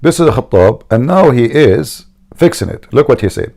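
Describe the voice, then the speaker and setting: Gravelly man arguing. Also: medium pitch, lively intonation, normal speed, warm tone. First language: Arabic. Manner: argumentative, heavy accented English with grammar slips; frequent throat clearing. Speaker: host debating a caller. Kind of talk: live call-in religious debate.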